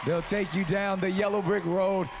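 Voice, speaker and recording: A man's voice: sits high, fairly even intonation, moderate pace, noisy background. A man hosting a TV competition, announcing in an upbeat showman's way. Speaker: TV show host. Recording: broadcast clip with studio crowd. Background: cheering crowd and music.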